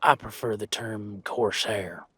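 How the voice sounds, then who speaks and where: A captured pirate corsair, voiced by a man, talks in a husky, mid-pitched voice with a very dry sound. He is wary and halting, bargaining slowly and uneasily, as in a roleplay audio drama. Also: fairly even intonation, fairly bright tone, no echo, full character voice. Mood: guarded.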